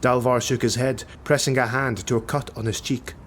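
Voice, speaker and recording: A man's voice: mid-range voice, little pitch movement, normal speed, a bit bright, slight background noise. A man narrating a fantasy audiobook in a scripted, dramatic style.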